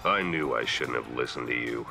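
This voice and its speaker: A man playing a hard-bitten mercenary gunman in a video game, dry, sardonic and threatening, with a gravelly voice. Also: rumbling low, moderate pace, sharp tone, soft background music, exaggerated voice.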